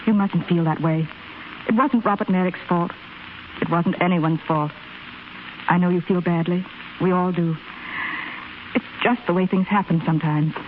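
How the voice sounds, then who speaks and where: An actress playing a brisk, sensible, authoritative head nurse in a 1930s radio drama. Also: female voice, lowish voice, steady tone, phone-quality sound, lightly acted. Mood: firm, consoling. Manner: authoritative, crisp. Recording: scratchy, thin 1930s radio broadcast recording.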